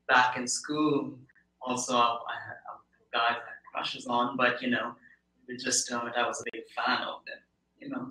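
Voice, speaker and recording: A man's voice: medium pitch, sharp tone. A man in his thirties with an Indian accent, talking casually and reflectively about himself as an interview guest, with hesitant phrasing. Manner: reflective, hesitant phrasing with many "you know". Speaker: podcast guest, product manager. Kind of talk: casual interview, personal account. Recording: echoey room.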